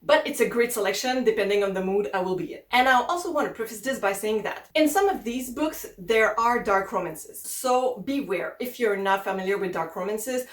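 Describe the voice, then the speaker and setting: A woman's voice: medium pitch, animated, a little fast, hardly any pauses, reverberant. A young woman from Quebec with a French-Canadian accent, chatting casually and upbeat about the books she plans to read. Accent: Québécois French. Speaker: book vlogger. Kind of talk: casual chat.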